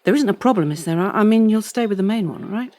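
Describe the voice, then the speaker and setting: An actress playing an anxious, mercenary middle-aged wife in an audio drama, with a husky voice. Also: female voice, medium pitch, animated, fast, darkish tone, lightly acted.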